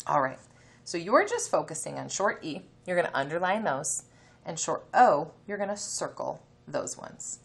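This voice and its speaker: A woman's voice: low-pitched, big pitch swings, moderate pace, gravelly. A woman teacher giving a reading lesson, explaining instructions to children, sounding out letters and reading a passage aloud. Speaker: elementary teacher.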